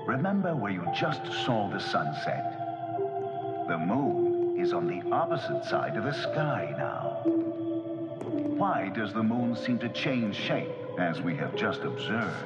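An actor playing a measured planetarium lecturer in an audio drama. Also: male voice, lowish voice, sing-song, over loud music.

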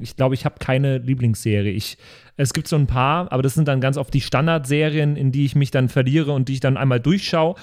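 A man hosting a casual podcast chat, talking in a halting, rambling, enthusiastic way. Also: mid-range voice, a little mellow. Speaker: podcast host.

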